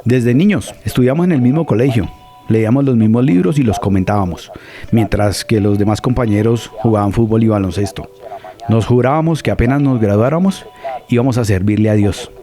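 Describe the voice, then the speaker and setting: An actor playing a talkative, formal parish priest in an audio drama, with a Colombian accent. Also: male voice, medium pitch, fairly quick, mellow, lightly acted. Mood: affable, reminiscing. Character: sociable parish priest, longtime friend of a colleague.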